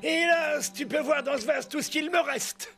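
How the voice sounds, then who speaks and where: A man playing a kindly, wistful old gnome magician in a French children's cartoon, speaking in a creaky, fatherly, measured way. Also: very high-pitched, a bit bright, soft background music.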